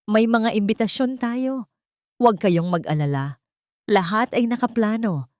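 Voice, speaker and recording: A woman voicing a calm, reassuring lawyer with an assured manner in an audio drama. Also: on the high side, a little slow, dry recording, clean studio sound, voice pushed up, natural voice.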